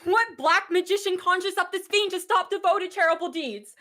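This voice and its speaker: A woman's voice: extremely high pitch, fairly even intonation, a little fast, pushed and bright. A young woman playing a grieving, furious noble widow in a Shakespearean radio play, declamatory and scornful. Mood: outraged, fearful. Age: young adult. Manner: declamatory, impassioned. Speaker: acting student.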